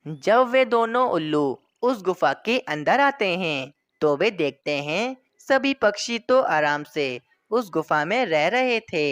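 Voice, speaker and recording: Slow, lively woman narrator. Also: medium pitch, no echo. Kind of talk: storybook narration.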